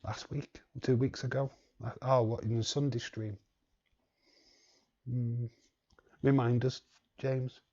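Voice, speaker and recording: A man's voice: mid-range voice, little pitch movement, slow, long pauses, dark tone, muffled recording. A man hosting a live watch-along stream, chatting casually and reading the chat aloud in a rambling way. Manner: rambling, reads chat aloud, chuckles often.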